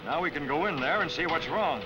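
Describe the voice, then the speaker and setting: An actor playing a stern, commanding masked vigilante who questions firmly, in a 1940s film serial style. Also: male voice, fairly high pitch, lively intonation, a little fast, natural voice, low-quality recording. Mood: firm, controlled. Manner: firm, interrogating. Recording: old film soundtrack with music behind.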